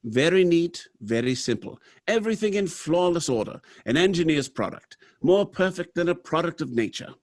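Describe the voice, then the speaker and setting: Man playing high, animated, brash factory boss. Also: moderate pace, hardly any pauses, lightly acted. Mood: brisk, proud. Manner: theatrical, confident, expository. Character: brash, proud robot factory manager, unsentimental man. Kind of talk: live recreation of 1930s radio drama.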